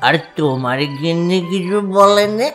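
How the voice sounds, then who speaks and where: A woman's voice: fairly low pitch, expressive, moderate pace, fairly bright tone, soft background music. A woman voicing a drunk, sentimental middle-aged married man in a cartoon comedy, muddled and drawling. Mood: tipsy, easygoing.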